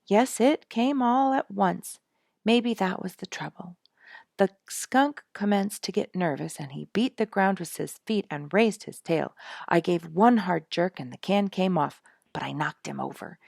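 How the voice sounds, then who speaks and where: A woman's voice: mid-range voice, measured pace, vocal fry, a little mellow, dry recording, clean studio sound, pitched above the performer's own voice. A woman voicing a rueful, dramatic father in a storybook, weary and emphatic as he recounts his troubles. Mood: exasperated.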